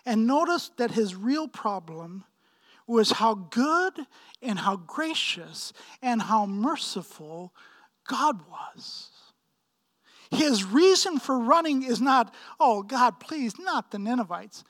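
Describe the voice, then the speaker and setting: A man in his fifties from Pakistan preaching a sermon, with emphatic, deliberate phrasing and frequent repetition. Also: very high voice, very animated, fairly bright tone. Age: 50s. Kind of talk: sermon, expository preaching with personal anecdotes.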